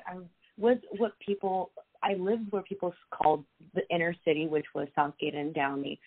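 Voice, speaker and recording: Young woman guest, lowish voice, rambling casual chat, tinny phone-line sound. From Los Angeles, USA. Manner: chatty, many fillers and restarts. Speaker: podcast guest, social media creator.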